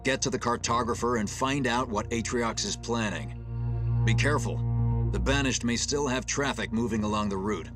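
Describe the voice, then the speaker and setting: A man plays an authoritative captain in a sci-fi video game. His delivery is measured and commanding at a middle pitch. Loud music accompanies him. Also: close to an everyday voice. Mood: resolute. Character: authoritative, decisive male ship captain. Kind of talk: video game drama acting.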